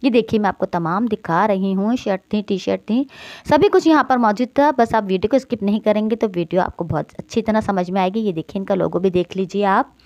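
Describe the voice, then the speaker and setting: A woman's voice: medium pitch, fairly quick, no echo. A woman vlogger with a Karachi Urdu accent, talking straight to her viewers as she tours a clothing shop on her phone. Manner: lively, addresses viewers directly, prompting questions. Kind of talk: shop tour vlog, interviewing owner.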